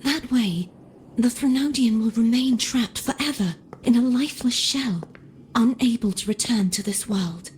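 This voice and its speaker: A woman playing a gentle, resigned, self-sacrificing young woman in a video game, speaking softly and breathily with solemn, wistful deliberation. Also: a little high, unhurried, slight background noise, natural voice. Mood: solemn pleading. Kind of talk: dramatic video game voice acting.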